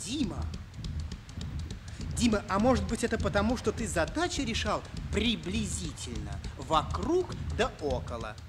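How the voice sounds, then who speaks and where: An actor playing a sensible, wry schoolboy and loyal friend in a Soviet children's radio play, questioning and gently ironic. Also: medium pitch, very animated, measured pace, background noise.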